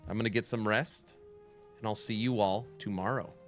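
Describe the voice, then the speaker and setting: A man's voice: lowish voice, normal speed, soft background music. A man streaming a game, chatting casually with his viewers and answering their questions while nasal from a cold. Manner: relaxed, answers chat questions aloud. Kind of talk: casual live-stream chat.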